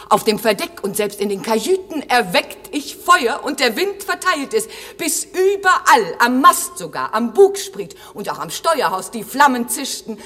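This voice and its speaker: Radio drama casting: dutiful sprite, lyrical and swooping in pitch, heard in a long echoing hall. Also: female voice, husky, full character voice. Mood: exultant, vivid. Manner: declamatory, lyrical, lively. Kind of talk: classic German radio drama, heightened verse delivery.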